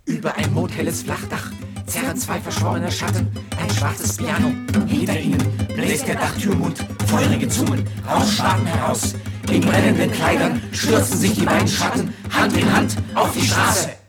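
Man as radio drama narrator, fairly high voice, deliberate declamatory phrasing, vivid, loud music behind. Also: close to an everyday voice. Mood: ominous, vivid. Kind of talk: scripted radio play narration.

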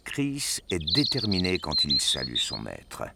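A man's voice: low voice, wildly swooping pitch, unhurried, vocal fry, very noisy. A man narrating an audio drama as a scripted storyteller. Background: music.

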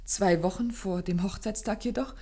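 An actress playing an anxious, grieving young gentlewoman who tells her story formally and intensely in a German radio play. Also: medium pitch, moderate pace, lightly acted. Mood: grave, composed. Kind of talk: German radio play acting, dramatic first-person narration.

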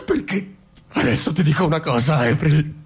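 Volatile husband, animated and raspy. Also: male voice, on the high side, moderate pace. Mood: furious, spiteful. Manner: insistent, emotionally heated. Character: wounded, volatile husband, pleading then spiteful. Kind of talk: theatrical stage-play acting for radio.

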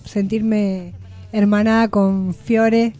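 A woman's voice: medium pitch, flat delivery, extremely slow, dark tone. A woman with an Argentine Rioplatense accent, telling anecdotes casually on a radio panel.

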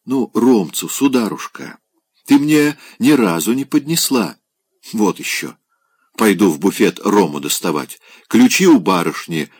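A man's voice: fairly low pitch, darkish tone, dry recording. A man voicing a blunt, grumbling female housemaid.

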